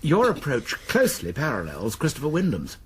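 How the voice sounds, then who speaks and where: A man's voice: mid-range voice, wildly swooping pitch, fairly quick, noisy background. A man playing a fussy, self-satisfied college librarian in a radio drama, with an English accent and an arch, precise, donnish delivery. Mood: earnest, donnish.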